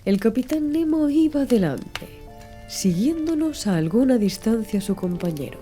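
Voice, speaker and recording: Dark, mellow woman narrating an audiobook. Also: fairly high pitch, big pitch swings, unhurried. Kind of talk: scripted literary audiobook narration. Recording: music under the voice. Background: music.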